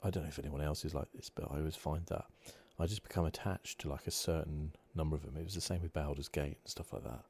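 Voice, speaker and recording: A man softly giving relaxed, hesitant ASMR commentary over a video game playthrough, close to the microphone. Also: extremely low pitch, fast, hardly any pauses. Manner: soft-spoken, relaxed, hesitant. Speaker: gaming video host. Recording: clear steady background noise.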